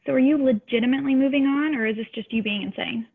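A woman's voice: sits high, quick pace, breathy edge. A woman playing a peppy, teasing nineteen-year-old gossip of a little sister at a table read. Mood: probing. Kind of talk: table-read acting over video call. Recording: tinny, band-limited call audio.

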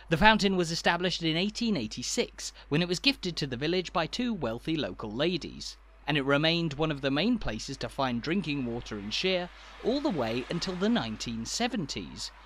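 A man narrating a scripted walking tour in a polished, measured documentary style. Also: a little high, a bit bright.

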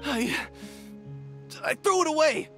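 A man's voice: very high voice, lively intonation, slow, sharp tone, soft background music, heavily acted. A man voicing a cocky, cowardly young thief in a video game. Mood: panicked pleading. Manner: taunting, insulting, turning to frantic pleading. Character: cocky young male thief, sneering, cowardly when caught.

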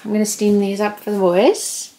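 Young woman vlogging, casual chatty talk, normal pitch, pretty noisy camera audio. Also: little pitch movement, normal speed, dark tone. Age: young adult. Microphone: handheld camera or phone. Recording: noisy handheld camera, household and car noise.

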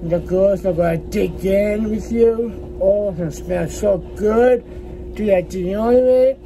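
Young guy rambling to his phone at home, slow and halting, repeats himself, some noise behind him. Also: high voice, vocal fry, mellow, poor audio. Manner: halting, repeats words and short phrases. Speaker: vlogger. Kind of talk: casual rambling vlog chat. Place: small laundry room beside a kitchen at home, indoors. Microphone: phone at arm's length.

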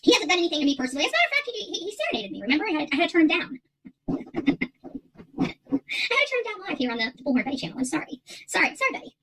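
Middle-aged woman, high-pitched voice, rapid talker, casual livestream host, emphatic and repetitive. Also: huge pitch swings, fairly bright tone, rough recording. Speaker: livestream creator and channel host. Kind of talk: casual livestream chat to viewers. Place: small furnished room at home, indoors.

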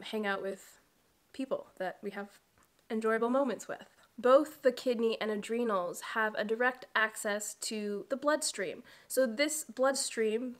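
Woman, 30s, nasal from allergies, chatty explainer, close camera, living room. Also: medium pitch. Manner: warm, explanatory, with chatty asides. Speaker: wellness coach and channel host. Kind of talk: educational talk to camera.

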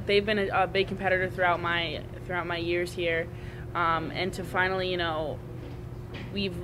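Brief: young woman rugby player, postgame presser, earnest, monotone, humming background. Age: young adult. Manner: earnest, repeats and restarts phrases. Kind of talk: postgame press conference answers. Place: press room at a draped table. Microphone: table mic on a small stand. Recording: steady background rumble.

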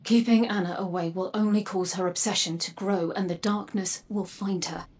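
An actress playing a grave, guarded woman warrior in a video game, measured and solemn, her breathy voice dropping toward a whisper. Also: medium pitch, fairly even intonation, a little mellow, close to an everyday voice. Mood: resolute, serious. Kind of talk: dramatic video game acting. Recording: game music underneath. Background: music.

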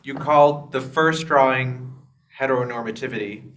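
Man in the audience, mid-pitched voice, polite and a little halting. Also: a bit bright, a little hiss or hum. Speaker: audience member asking questions. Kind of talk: Q&A questions.

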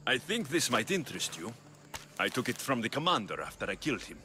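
A bold mercenary with a Greek accent in a video game. His delivery is bright and lively, with direct, challenging phrasing, over noticeable background ambience. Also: medium pitch, brisk, slight background noise. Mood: probing, assured. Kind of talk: video game dialogue acting.

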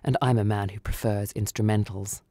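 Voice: low-pitched, fairly even intonation, brisk, mellow, no echo, studio quality, pitched below the performer's own voice. A narrator voicing a menacing, intense man in a radio short story, speaking tersely. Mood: quietly menacing.

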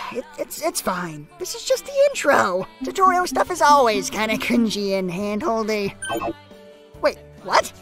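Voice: medium pitch, expressive, measured pace, over music. An actor voicing a sardonic, exasperated teenage gamer boy in an anime parody dub, sarcastic and melodramatic.

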